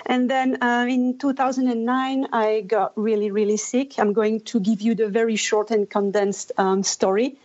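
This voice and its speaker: A woman's voice: a little high, steady tone, moderate pace, few pauses, darkish tone. Belgian French-accented woman on a dull line. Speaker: guest, cookbook author and blogger. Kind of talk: podcast interview.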